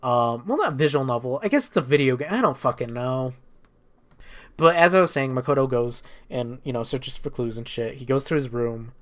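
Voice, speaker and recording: A man with a medium-pitched voice talks casually without a script. He rambles through a game recap as a video creator would, using loose slang and frequent profanity. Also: expressive, moderate pace, fairly bright tone.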